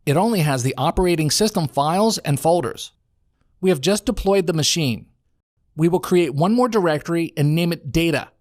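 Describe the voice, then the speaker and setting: An American man reading a scripted software tutorial as a voice-over narrator, in a measured, instructional way. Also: on the high side, no echo, clean studio sound. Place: home studio.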